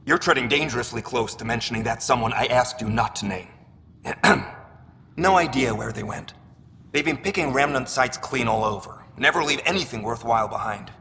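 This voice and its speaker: A shifty scavenger trader from a video game, played by an actor, with a sharp, bright, lowish male voice. He haggles smoothly but hedges nervously, and his words ring in a long reverb. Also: normal speed, a little hiss or hum, natural voice. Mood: evasive. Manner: evasive, smooth-talking with nervous hedging.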